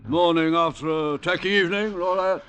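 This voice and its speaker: An actor playing a flippant, condescending upper-class silly ass in a 1940s radio drama, affected and breezy. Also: male voice, sits high, steady tone, fairly quick, fairly bright tone, clean tone. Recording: 1940s radio broadcast recording.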